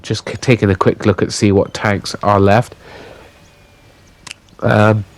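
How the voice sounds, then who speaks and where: A man with a somewhat low voice talks over his tank battle footage. His voice is croaky and his nose is stuffed up from being ill. He keeps stopping to restart his sentences and fills the gaps with ums and uhs. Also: flat delivery. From United Kingdom. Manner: halting, with many fillers and restarts. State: croaky voice with a blocked nose from illness. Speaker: gaming commentator. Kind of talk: gameplay voiceover commentary.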